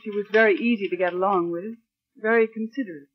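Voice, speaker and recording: An actress playing a shaken woman secretary giving an earnest, halting statement in a 1940s radio drama. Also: mid-range voice, husky, natural voice. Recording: muffled, noisy 1940s radio recording.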